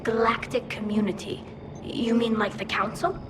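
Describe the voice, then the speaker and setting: A woman voicing a gentle, curious alien engineer in a video game. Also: on the high side, little pitch movement, moderate pace, very noisy, lightly acted. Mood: gentle curiosity. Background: background music.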